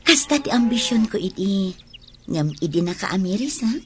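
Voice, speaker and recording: A woman's voice: mid-range voice, expressive, normal speed, slight background noise, close to an everyday voice. A woman with an Ilocano accent playing a coy, ambitious village sweetheart in a radio drama. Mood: coy, playful.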